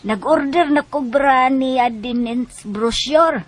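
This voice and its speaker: An actress playing a boisterous, jolly neighbourhood vendor in a comic Bisaya radio drama, excitable and repeating her words, with a Cebuano accent. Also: high-pitched, takes it slowly. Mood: excited. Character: boisterous, jolly older neighbourhood vendor woman, evasive about debts.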